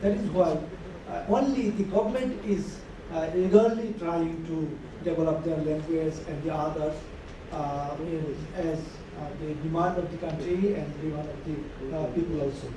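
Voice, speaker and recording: A man's voice: a little high, fairly even intonation, normal speed. Hesitant man in an echoey hall. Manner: hesitant, full of fillers. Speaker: panel speaker. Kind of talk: conference remarks. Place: large conference hall. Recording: distant echoey hall recording with noise.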